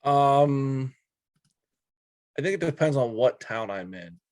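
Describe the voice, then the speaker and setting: A man's voice: mid-range voice, lively intonation, slow, a little mellow, no room sound, clean studio sound. A man co-hosting a sports talk show in a casual, bantering style.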